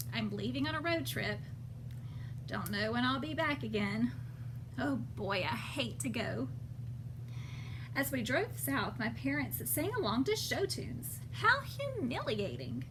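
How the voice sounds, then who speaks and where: A sulky storybook girl read aloud by a woman grumbles about her road trip in a high voice. Her delivery is dramatic and swoops widely, at an unhurried pace, over some background noise. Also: big pitch swings, exaggerated voice. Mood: sulky, grumbling.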